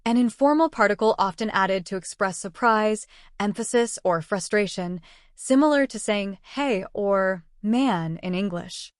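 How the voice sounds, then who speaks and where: Woman reading out a language lesson, middle pitch, dry close sound, steady explaining. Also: normal speed, smooth, no echo. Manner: even, explanatory delivery. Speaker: language teacher. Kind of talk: scripted lesson narration.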